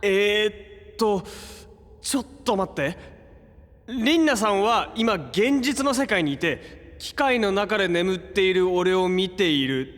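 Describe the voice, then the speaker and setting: A man's voice: high-pitched, expressive, takes it slowly, a bit bright, heavily acted. A man playing a brash, hot-blooded young superhero in a Japanese audio drama, with cocky, slangy, exclamatory delivery. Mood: startled, puzzled. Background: prominent music.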